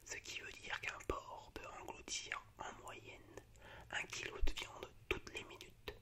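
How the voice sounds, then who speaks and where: A man speaking in a breathy, unvoiced whisper as an ASMR host, unhurried and a little hesitant. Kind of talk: whispered ASMR, reciting film monologues.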